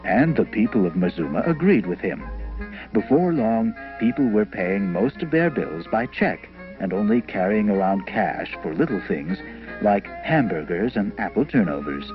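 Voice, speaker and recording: A male storyteller narrates from a script in a fairly low voice with lively, swinging inflection. Music plays behind him. The recording is slightly dull, like a film from 1970. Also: normal speed.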